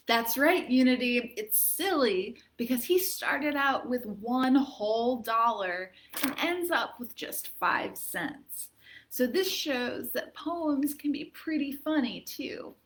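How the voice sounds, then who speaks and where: Slow-paced, high-voiced woman hosting storytime. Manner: expressive, asks young listeners questions. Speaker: library storytime host. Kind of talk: children's storytime narration.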